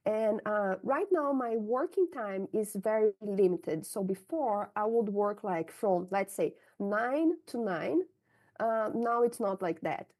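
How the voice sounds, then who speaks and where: A woman's voice: mid-range voice, lively intonation, slow, a little mellow. A woman from Brazil with a Brazilian Portuguese accent, whose first language is Portuguese, answering interview questions about her scientific career frankly and often adding "right?" to her sentences. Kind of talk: candid conversational interview answers.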